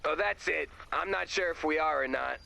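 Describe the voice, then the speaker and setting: Creaky, bright, earnest young misfit. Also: male voice, fairly high pitch, moderate pace, full character voice. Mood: unsure. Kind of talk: video game voice acting.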